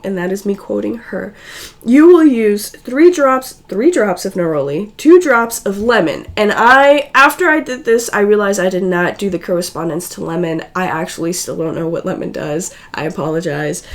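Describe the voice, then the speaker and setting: A woman with a raspy, worn-out voice talks through a homemade recipe in a relaxed, rambling way. Her pitch sits a little high for a woman, and she often stops to correct herself. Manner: chatty, loose, self-correcting. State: hoarse and unwell. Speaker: guest co-presenter. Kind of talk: casual how-to explanation.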